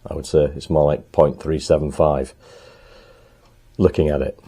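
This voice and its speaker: Low mellow guy in his workshop, bit hoarse, lots of ums as he works. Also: little pitch movement, normal speed. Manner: chatty, thinks aloud while working, frequent ums. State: slightly hoarse, clearing throat. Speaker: motorcycle restorer and channel host. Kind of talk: casual workshop talk to camera. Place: home workshop in the countryside.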